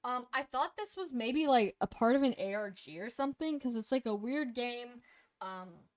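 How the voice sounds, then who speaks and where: Young woman co-host, casual rambler with a loose, profane delivery, slightly sniffly and hoarse, dry close sound. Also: medium pitch, dry recording. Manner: rambling and profane, with many fillers. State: sniffly, losing her voice. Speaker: co-host reviewing games. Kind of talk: casual chat.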